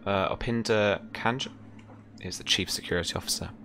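A man's voice: on the low side, brisk, pushed and bright, a little hiss or hum. A young man running a tabletop game, casually explaining rules and details as game master.

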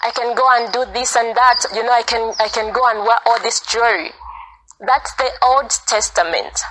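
A woman's voice: fairly high pitch, normal speed, sharp tone, a little hiss or hum, low-quality recording. A woman giving an informal, insistent sermon, repeating phrases for emphasis. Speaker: preacher. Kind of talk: informal sermon, earnest exhortation.

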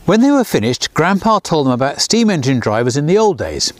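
A man in his sixties, a children's author, reading his own storybook aloud outdoors beside a small railway, lifting his voice for the characters. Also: brisk. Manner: lively storyteller, lifts pitch for characters' lines.

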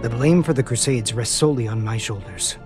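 A man plays a solemn young scientist in an animated game cutscene. He is courteous and formal, choosing each word with understated care. Music plays clearly behind him. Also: medium pitch, close to an everyday voice. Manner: polite, measured, formal, understated. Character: soft-spoken, solemn, polite young man, a feared scientist.

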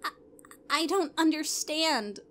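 A woman plays a young pony princess with a fairly high voice. She is earnest and distraught, as in a cartoon fan drama. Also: expressive, takes it slowly, a bit bright, heavily acted. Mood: bewildered. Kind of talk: fan fiction audio drama.

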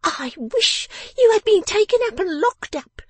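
A woman voicing a sharp-tongued, bossy young girl in a storybook, scolding in a shrill, imperious way. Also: sing-song, a little slow, no echo. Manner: imperious, tart, emphatic scolding.

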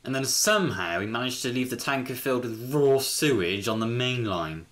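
A man's voice: medium pitch, animated, moderate pace, fairly bright tone, natural voice. A man voicing a chatty, teasing male steam engine. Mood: gossipy teasing.

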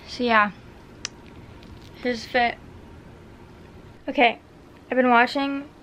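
A young woman vlogging to camera in her small apartment, chatting in a relaxed way with lots of fillers. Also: on the high side, a little hiss or hum. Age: young adult. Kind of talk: casual vlog chat to camera.

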